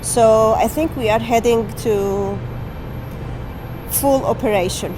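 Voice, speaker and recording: A woman's voice: a little high, slow pace, low-quality recording. A woman scientist explaining her facility's research plainly in a documentary interview. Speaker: synchrotron scientist. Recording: music bed under the voice.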